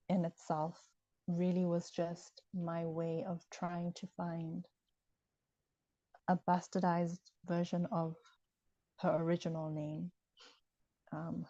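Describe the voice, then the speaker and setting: A woman talks through her ideas in a calm, measured interview. Her voice sits low and mellow, with little rise and fall. The audio is dull and lo-fi with a dry, close sound. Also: no echo. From Ghana. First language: Ewe. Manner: measured, explanatory, thinks aloud. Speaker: author discussing her work. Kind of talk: conversational interview.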